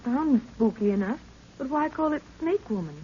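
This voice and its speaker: A muffled old radio recording of a young explorer's daughter. Her fairly high, husky voice is curious and anxious, in the style of a 1930s adventure serial. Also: animated, moderate pace, dark tone. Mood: uneasy. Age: young adult.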